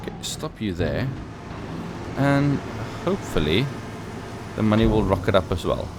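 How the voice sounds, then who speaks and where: Lively low-voiced man with noisy backdrop. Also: measured pace. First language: not English. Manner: thinking aloud, playful asides. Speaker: gaming let's play host. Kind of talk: casual gameplay commentary.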